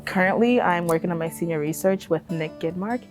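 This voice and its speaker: Earnest lower-voiced female student. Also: a little fast. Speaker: senior biology student. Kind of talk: promotional testimonial. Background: faint music.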